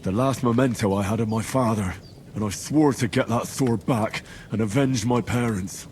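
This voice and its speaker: A man's voice: fairly low pitch, moderate pace, a little mellow, slight background noise, close to an everyday voice. A man playing an earnest, plain-spoken young medieval hero in a fantasy video game. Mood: bitter. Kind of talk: dramatic video game acting.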